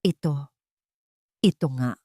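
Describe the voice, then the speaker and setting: A woman's voice: low voice, takes it slowly, warm tone, no echo, clean studio sound. A woman voicing a stern, wealthy older matriarch with a formal, commanding manner in an audio drama. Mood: moved.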